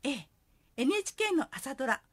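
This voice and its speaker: A woman's voice: sits high, very animated, fairly quick. A woman acting in a radio drama as a polite young singer and devoted daughter. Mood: polite, bright.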